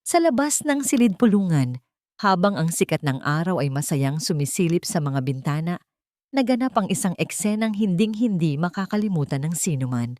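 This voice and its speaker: A woman narrating a scripted dramatic story in a measured, solemn storytelling manner. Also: on the low side, warm tone, dry recording, clean studio sound. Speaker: narrator of Tagalog love stories.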